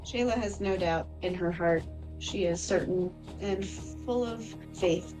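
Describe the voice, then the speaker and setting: A woman with a fairly low voice tells what her fantasy game character does and feels. There is faint music behind her. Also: unhurried, poor audio. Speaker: tabletop game player. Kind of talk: narrating her character's actions.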